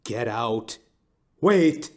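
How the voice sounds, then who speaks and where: A man's voice: mid-range voice, animated, drawn-out words, slight background noise. Noir comedy audio play: haughty, embittered middle-aged wife played by a man, pompous, theatrical, mid male register. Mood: furious, contemptuous. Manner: pompous, theatrical old-fashioned diction. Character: bitter, haughty, middle-aged woman, melodramatic wealthy wife.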